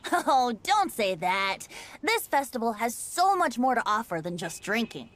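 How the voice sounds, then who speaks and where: A high, playful boyish bard. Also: female voice, sing-song, measured pace, fairly bright tone. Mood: playful, breezy. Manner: airy, theatrical, playful.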